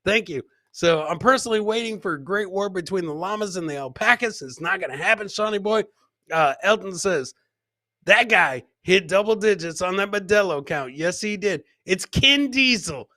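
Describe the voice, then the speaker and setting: A middle-aged man hosts a casual comedy livestream. His voice is bright and forceful, sitting fairly high, and he repeats phrases and swears casually as he riffs. The sound is dry and close-miked. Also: animated, normal speed, no echo. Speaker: podcast host and comedian. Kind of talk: casual livestream banter, reading out chat comments. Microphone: close boom-arm microphone with headset.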